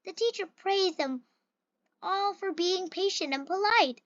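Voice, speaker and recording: A reader with an expressive, carefully phrased delivery, reading a children's picture book aloud. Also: very high voice, a little slow, dry recording, muffled recording. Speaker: story reader.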